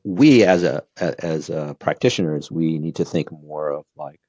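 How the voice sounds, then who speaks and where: A very deep-voiced man from Brazil, with Portuguese as his first language, talks as an interview guest. He gives advice eagerly, restarting his sentences and dropping in fillers. The recording is dry and slightly muffled. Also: normal speed, no room sound. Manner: earnest, animated advice-giving with frequent fillers and restarts. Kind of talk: casual interview talk.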